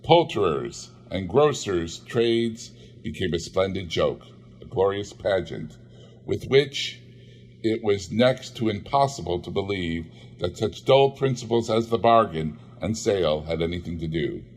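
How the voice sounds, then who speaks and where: A man reading a classic novel aloud in measured storytelling narration. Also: sits low, lively intonation. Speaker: audiobook reader.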